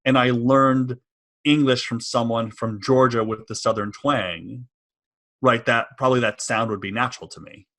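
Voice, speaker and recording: A man's voice: medium pitch, steady tone, normal speed, fairly bright tone. A man in his forties with a Washington DC area American accent, hosting a casual podcast interview from a home office, agreeable with many short yeses. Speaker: podcast host. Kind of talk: casual podcast interview over video call.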